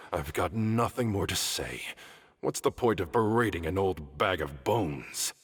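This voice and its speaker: A man's voice: sits low, lively intonation, slow, a little hiss or hum. An actor playing a stern older academic in a video game, scathing and sarcastic as he scolds. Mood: weary disdain. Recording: long hall reverb.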